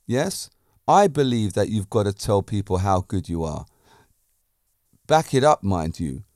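A man's voice: medium pitch, darkish tone, dry recording, studio quality. A man hosting a podcast, giving a self-assured motivational monologue full of emphatic points and rhetorical questions. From Britain. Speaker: podcast host.

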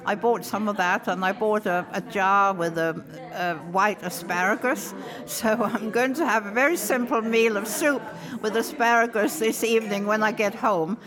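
An older woman born in Denmark, a native Danish speaker, talking in a friendly, chatty way with little hesitations. She is being interviewed in a church hall after a service, with a crowd chattering clearly behind her. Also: mid-range voice, fairly even intonation, normal speed. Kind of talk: casual interview chat.

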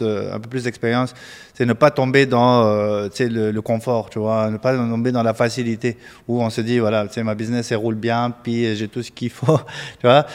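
Male guest, mid-pitched and chatty, filler-heavy advice, echoey room. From Tunisia. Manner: chatty, many fillers, mixes in English words. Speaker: guest mortgage broker. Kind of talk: casual advice talk. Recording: reverberant room.

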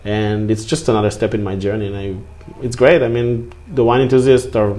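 A man's voice: on the low side, flat delivery, fairly quick, a little mellow. A middle-aged man from Israel, a native Hebrew speaker, answering interview questions about his work as a winemaker in earnest, non-native English full of fillers. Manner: earnest, fluent but non-native phrasing with many fillers.

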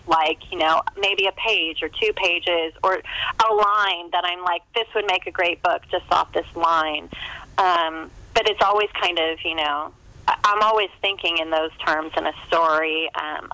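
A woman speaks as a guest in a casual interview about writing. Her voice is bright and forward, recorded dry with little room sound. She rambles chattily and slips into quoting imagined lines. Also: fairly low pitch, no echo, low-quality recording.

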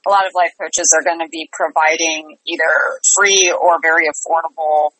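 Lower-pitched middle-aged woman, earnest and emphatic. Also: fairly even intonation, normal speed, a bit bright, husky, no echo, rough recording. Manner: earnest, emphatic repetition of phrases. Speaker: interview guest, life and business coach. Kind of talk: conversational interview answers.

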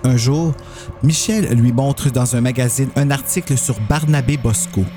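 A man's voice: mid-range voice, normal speed, dark tone, soft background music. A man narrating a scripted horror story as a podcast host.

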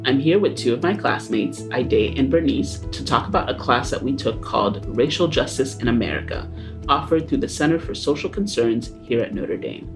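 A young man from Haiti hosting a student podcast, talking in a relaxed way with small laughs and fillers. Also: mid-range voice, moderate pace, music in the background. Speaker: podcast host.

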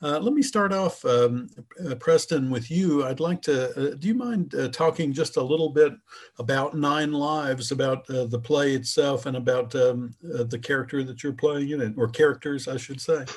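A man with a husky, slightly rough voice hosts an interview. He asks polite, rambling questions and fills the pauses with "uh" and "um.". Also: mid-range voice. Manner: halting, polite questions with many "uh"s. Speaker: interview host. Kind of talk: interview hosting.

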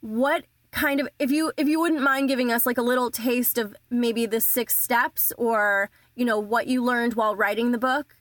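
Polite woman podcast host, slightly high voice. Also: steady tone, moderate pace, few pauses, a bit bright. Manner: polite, thanking and asking questions. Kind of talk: podcast interview.

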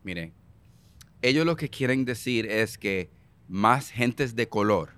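A man's voice: lowish voice, expressive, takes it slowly, fairly bright tone, smooth. A man with a Puerto Rican Spanish accent, a guest in a political interview, talking emphatically, repeating himself and slipping into English phrases. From Puerto Rico.

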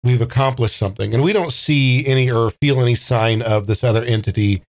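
A man's voice: mid-range voice, fairly quick. A man who is a player in a tabletop role-playing game, improvising and talking casually about what his character does.

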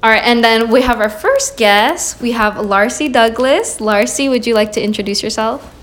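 A woman's voice: a little high, normal speed, fairly bright tone. Upbeat woman hosting a podcast. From Seattle, United States. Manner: upbeat, laughs easily, moderates. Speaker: podcast co-host.

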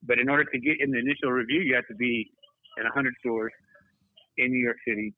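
A man chatting casually as a guest on a talk show, easygoing and halting in the way he explains things. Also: mid-range voice, steady tone, no echo, narrow, tinny audio. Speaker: guest correcting pronunciation and commenting on cigars. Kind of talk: casual chat.

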